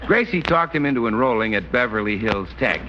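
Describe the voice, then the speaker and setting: A man's voice: medium pitch, animated, a little fast, background noise. An American man announcing and narrating a sponsored radio comedy in mid-century style. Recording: muffled old radio broadcast, loud music.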